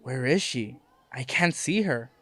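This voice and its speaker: A man's voice: medium pitch, expressive, unhurried, fairly bright tone. A man playing an anxious, smitten teenage schoolboy in an amateur audio drama.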